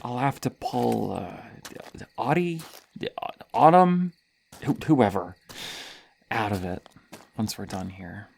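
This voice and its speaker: A woman's voice: extremely low pitch, expressive, slow pace, vocal fry, long pauses, a little mellow, a little hiss or hum, full character voice. A woman playing a sadistic, playful serial killer in an ASMR horror roleplay, teasing and menacing, with a hesitant stammer and drops into whispers. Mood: smug amusement.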